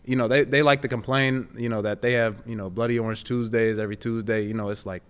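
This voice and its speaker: A man in his twenties, a pro athlete answering interview questions in a halting, conversational way with repeated words. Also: medium pitch, steady tone, smooth. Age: 20s. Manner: halting, with repeated words and frequent "you know". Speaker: professional football player being interviewed.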